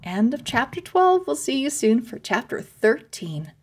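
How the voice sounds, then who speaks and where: A woman reads a children's chapter book aloud in a fairly high voice. She takes it very slowly, phrasing each sentence carefully and expressively for young listeners. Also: big pitch swings. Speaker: read-aloud host and narrator. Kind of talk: expressive children's storybook reading.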